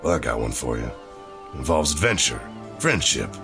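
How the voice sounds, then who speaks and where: A man playing a folksy, easily exasperated storyteller in a scripted podcast promo, with a gravelly voice. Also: a bass voice, very animated, moderate pace, music in the background, full character voice. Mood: theatrical, exasperated. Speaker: dungeon master and podcast host.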